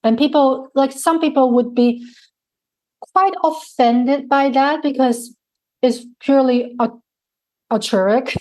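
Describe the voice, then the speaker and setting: A woman with a Mandarin accent, a native Mandarin speaker, talking slowly and thoughtfully as a guest in an interview. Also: high-pitched, a little mellow, rough recording. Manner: slow, thoughtful, searching for words. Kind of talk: reflective interview conversation.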